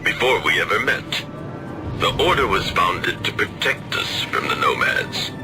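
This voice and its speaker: An actor playing a solemn lord of a secret order in a video game, explaining things formally and with measured weight. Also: male voice, on the low side, wildly swooping pitch. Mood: grave. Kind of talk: dramatic video game cutscene acting. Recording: very loud background music.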